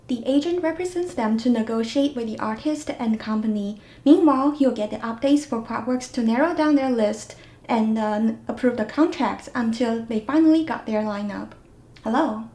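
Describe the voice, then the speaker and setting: A young woman reports for a student newscast in a fairly high voice. She reads scripted stories and speaks to viewers in stand-ups. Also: warm tone. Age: young adult.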